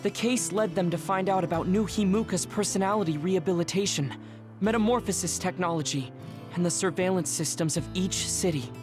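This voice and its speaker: Lady acting composed young female officer in a game, serious, polite, level delivery, music clearly behind. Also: fairly low pitch, fairly quick, natural voice. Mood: matter-of-fact. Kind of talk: English video game voice acting. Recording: clear music underneath the dialogue.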